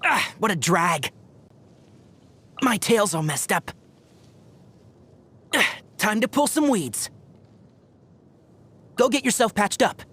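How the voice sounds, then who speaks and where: A man's voice: sits high, bright, forceful tone, slightly rough, full character voice. A man voicing a young fox-eared forest ranger and scholar in a game, sensible and dryly sardonic, articulate and wry. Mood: battle-ready, forceful. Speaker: voice actor for a game character. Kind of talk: scripted game character voice lines. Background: background music.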